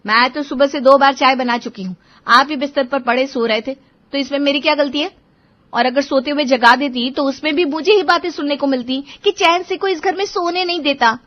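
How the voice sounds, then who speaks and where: A woman's voice: sits high, fairly quick, voice pushed up, natural voice. A woman voicing a young newlywed wife who answers her irritable husband defensively but patiently, in a dull lo-fi recording. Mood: indignant. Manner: defensive, reasoning.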